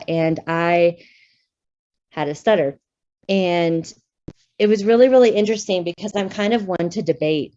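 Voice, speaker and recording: A woman's voice: on the low side, a little mellow, muffled recording. A woman speech pathologist giving a candid, conversational talk at a conference session, with frequent fillers.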